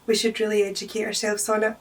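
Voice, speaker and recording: A Scottish woman in her early thirties, telling her own health story in an earnest, steady way. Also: mid-range voice, fast talker, far from the mic. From Scotland. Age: 30s. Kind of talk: personal testimony.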